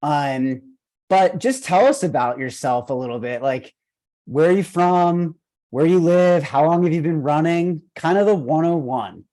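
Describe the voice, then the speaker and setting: A man's voice: fairly high pitch, animated, unhurried, dark tone. A man with an Argentinian accent, hosting a running coaching podcast and interviewing an athlete in an enthusiastic, rambling way with many fillers. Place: video call.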